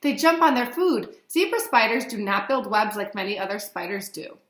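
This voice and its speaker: A woman in her thirties reading a picture book aloud as a teacher, animated and explaining things simply to young children. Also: mid-range voice, moderate pace. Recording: roomy echo.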